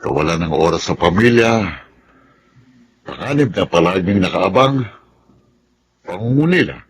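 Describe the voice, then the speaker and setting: A man's voice: low voice, sing-song, unhurried, breathy edge, exaggerated voice. An actor playing a seasoned, understanding Filipino army officer in a radio drama, fatherly and reflective.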